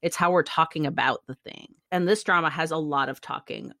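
Low-voiced woman hosting a drama podcast, calm and reflective, dry close sound. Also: a little fast, vocal fry, dry recording. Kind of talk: reading prompts and casual discussion.

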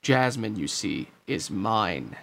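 A man voicing a smug, sneering, scheming sorcerer with a grating voice, gloating with drawn-out menace in a storybook reading. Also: fairly low pitch, lively intonation, creaky, a bit bright, slight background noise, voice pushed down, full character voice.

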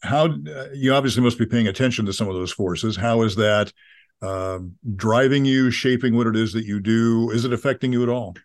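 A man's voice: medium pitch, a little fast, no room sound. A man interviewing a journalist, asking long questions with hesitant restarts. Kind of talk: campus podcast interview.

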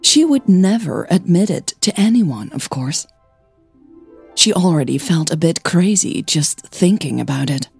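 A woman hosts and narrates a scripted fiction podcast. Her voice sits fairly low with a husky grain, and she takes her time, telling the story in measured phrases. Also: darkish tone.

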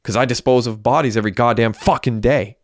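A man voicing a quick-tempered, sardonic young man in an audiobook, speaking with cutting sarcasm and plenty of swearing. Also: mid-range voice, no room sound, clean studio sound, natural voice. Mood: sarcastic.

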